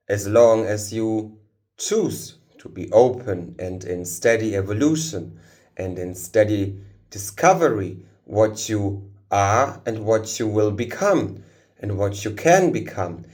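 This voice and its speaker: Very slow, low-voiced man guiding meditation. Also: darkish tone. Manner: halting short phrases with long pauses, solemn. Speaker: spiritual guide leading a meditation. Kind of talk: guided meditation, channelled spiritual talk.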